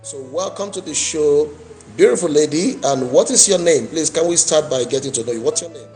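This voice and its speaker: A Nigerian man hosting an interview show, speaking in a measured way and repeating and rephrasing his words. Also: a little high, darkish tone, soft background music. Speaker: host.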